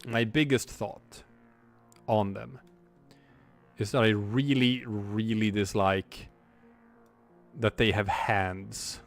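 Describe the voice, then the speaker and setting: A man's voice: lowish voice, steady tone, takes it slowly, creaky, pauses often, faint music behind. Rambling man chatting on a stream. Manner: rambling and reflective, many restarts. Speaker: streamer and comic artist. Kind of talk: casual livestream chat while drawing.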